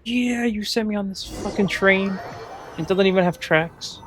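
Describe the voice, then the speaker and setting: A man's voice: high-pitched, a little slow, noisy background. A man giving casual reaction commentary over gameplay, talking in a blunt, sarcastic, sweary way. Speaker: game streamer.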